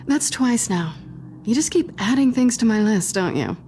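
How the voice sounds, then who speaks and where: A woman playing a sly, guarded young cat burglar with dry wit in a video game, teasing and sardonic in an intimate, low-key way. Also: animated, moderate pace, warm tone, slight background noise, close to an everyday voice. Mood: guarded, irritated. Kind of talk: naturalistic video game acting.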